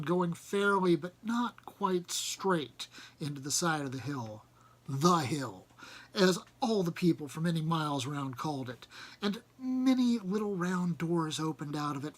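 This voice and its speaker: A man reading a storybook aloud as narrator, with expressive delivery that often drops softly at the ends of phrases. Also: on the high side. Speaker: reader.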